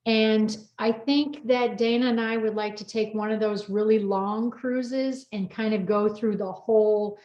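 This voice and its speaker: Higher-voiced woman in a roomy, dull recording. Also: steady tone, unhurried, hardly any pauses, darkish tone. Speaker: co-author hosting a personal chat. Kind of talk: casual chat, asking questions. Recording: roomy, slightly dull sound.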